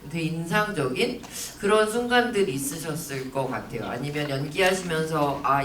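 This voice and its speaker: A woman moderating a film Q&A in a cinema, lively and laughing often. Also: sits low, a little hiss or hum. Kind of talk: event moderation. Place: cinema hall. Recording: echoey cinema hall, mic from a distance.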